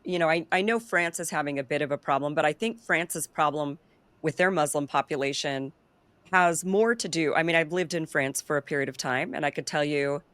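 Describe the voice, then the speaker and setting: A woman's voice: deep voice, fairly quick, a bit bright, no room sound. A woman hosting a political talk show, chatting in an opinionated, loose way and laughing easily. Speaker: show host. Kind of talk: casual political chat.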